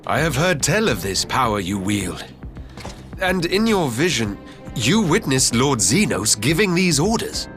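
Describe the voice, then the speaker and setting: An actor playing a formal, earnest imperial envoy in a video game, speaking in a measured, diplomatic way. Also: male voice, medium pitch, very animated. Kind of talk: video game cutscene acting. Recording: loud game music behind.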